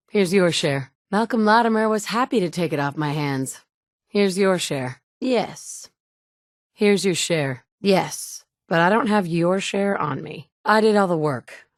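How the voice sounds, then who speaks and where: A woman's voice: fairly low pitch, no room sound. An actress playing a determined young mother searching for her kidnapped son in a video game, speaking in a dry, blunt, sometimes sarcastic way. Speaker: player character. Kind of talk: video game dialogue lines.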